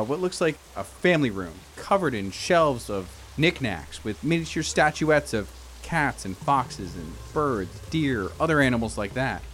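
A man's voice: medium pitch, fairly bright tone. A man narrating an improvised horror game as game master.

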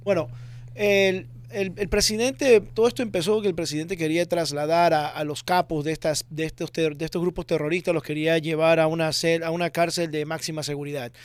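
A man from Ecuador, a security expert guest, explaining things in a measured way with some restarts. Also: a little high, fairly even intonation, smooth. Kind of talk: interview answers.

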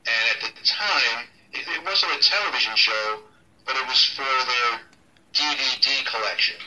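A middle-aged ring announcer talks through an interview in a loose, unhurried way, stopping and restarting often. His tone is very bright and forward. He sounds set back from the mic, as if over a phone line. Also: mid-range voice, distant mic. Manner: relaxed, halting, many ums and restarts. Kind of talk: casual interview over a call.

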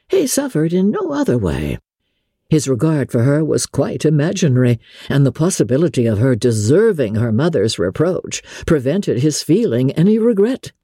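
Husky, expressive woman narrator. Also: low-pitched, no room sound, studio quality. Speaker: audiobook narrator. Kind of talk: dramatic scripted narration of classic literature. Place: dry studio.

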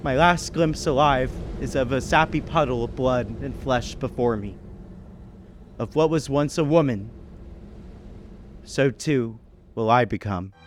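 A man playing a doomed businessman who narrates a radio horror drama in a slow, vivid, theatrical way. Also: medium pitch, noisy background, lightly acted. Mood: fading, dying. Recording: music under the voice.

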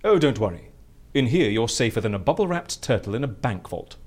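An actress playing an excitable, whimsical female boss in an audio drama, effusive and theatrical with quaint turns of phrase. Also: extremely low pitch, brisk, exaggerated voice. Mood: delighted, hospitable.

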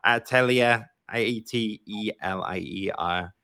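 A man's voice: lowish voice, takes it slowly, creaky, bright, forceful tone, dry recording, clean studio sound. A man with a Welsh accent hosting a live-streamed interview, chatty and encouraging. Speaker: podcast host.

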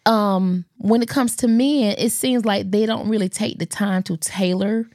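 A woman hosting a podcast, chatting in an animated, teasing way. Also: mid-range voice, a little slow, no room sound, clean studio sound. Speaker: podcast host. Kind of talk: casual chat.

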